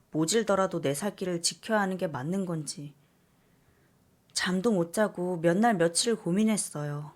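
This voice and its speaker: 차분하게 사연을 읽는 젊은 여성. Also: female voice, lowish voice. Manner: soft, subdued reading. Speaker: story reader. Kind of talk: first-person story narration.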